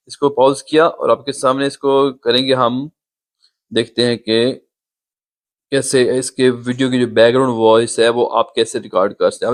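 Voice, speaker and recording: Man patiently explaining an app. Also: mid-range voice. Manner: explains patiently, pointing things out on screen. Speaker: YouTube tutorial host. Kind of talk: step-by-step app tutorial.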